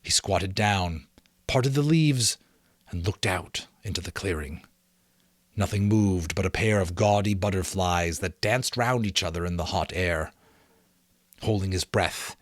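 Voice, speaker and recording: A middle-aged man giving a dramatic, expressive audiobook-style reading of a novel in a measured storytelling manner. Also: low-pitched, no room sound, clean studio sound. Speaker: amateur audiobook reader.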